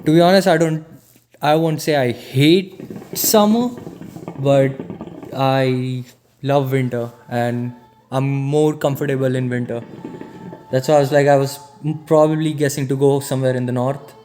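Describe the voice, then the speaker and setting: A man's voice: mid-range voice, fairly even intonation, measured pace, warm tone, slight background noise. A young man from western India, chatting casually on a student podcast and often saying "to be honest.". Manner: informal, repeats "to be honest". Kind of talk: casual chat.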